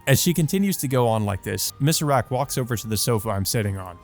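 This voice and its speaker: Man, medium pitch, visual novel narration, casual commentary, amused, sweary, background music. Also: expressive, fast talker, darkish tone. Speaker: let's play host.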